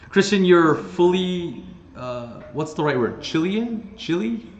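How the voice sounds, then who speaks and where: A man hosts a casual interview in a relaxed way, full of small acknowledgments. His voice has a slightly dull, muffled tone with a roomy echo and faint hiss. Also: sits high, slow pace. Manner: relaxed, many filler acknowledgments. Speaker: host.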